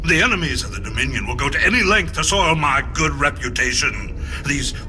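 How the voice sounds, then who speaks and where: A man's voice: mid-range voice, animated, a little fast. Imperious, indignant male emperor. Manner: imperious, oratorical. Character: haughty, indignant emperor, a tyrannical ruler. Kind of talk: grand political speech. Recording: loud music behind the voice.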